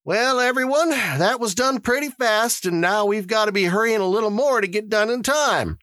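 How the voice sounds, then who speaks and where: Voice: medium pitch, normal speed, a bit bright, no echo, clean studio sound, voice pushed up, close to an everyday voice. A narrator voicing a male town official who gives orderly instructions in a formal, patient, civil way. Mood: brisk, civil.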